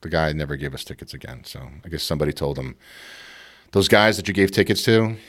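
A man from Queens, telling a casual, animated story as a guest and acting out the voices of the people in it. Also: rumbling low, fast. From Queens, New York. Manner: animated, acts out voices, lots of "you know".

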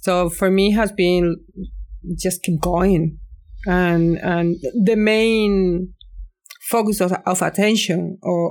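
Woman, 50s, from southern Spain, hesitant, restarts. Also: fairly low pitch. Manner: hesitant, many restarts, non-native phrasing. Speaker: podcast guest. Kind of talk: casual chat.

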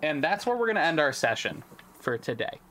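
A man's voice: fairly high pitch, very animated, fairly quick, bright, forceful tone, slight background noise. A man narrating as the game master of a tabletop game podcast, in a loose, chatty way.

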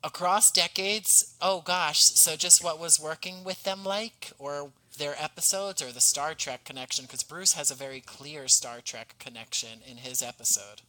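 Articulate man with a bright, forceful voice. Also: fairly high pitch, rough recording. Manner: articulate, measured, formal wording. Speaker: podcast guest, audio drama writer. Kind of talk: conversational interview, explaining his work.

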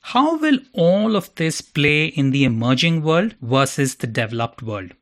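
A man's voice: a little high, sing-song, a little slow, a bit bright. A man hosting a podcast who reads his introduction in a theatrical, playful, self-mocking way and then interviews his guests. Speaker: podcast host.

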